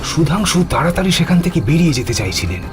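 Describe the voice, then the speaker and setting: A man narrates a horror tale with a very lively, swooping delivery and a slightly high pitch. His telling is dramatic and suspenseful, with music clearly behind him. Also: fast. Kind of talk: scripted horror story narration.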